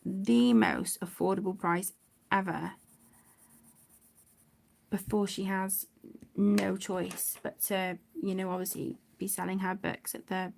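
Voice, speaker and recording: A middle-aged woman chatting casually on a livestream, affectionate and apologetic, with phrases that trail off softly. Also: medium pitch, creaky. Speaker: livestream host of an adult colouring channel. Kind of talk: casual livestream chat while colouring.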